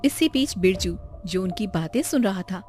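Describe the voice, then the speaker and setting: A woman's voice: medium pitch, animated, moderate pace, darkish tone. A woman reading a scripted moral story for children as its narrator. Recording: music behind the voice. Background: background music.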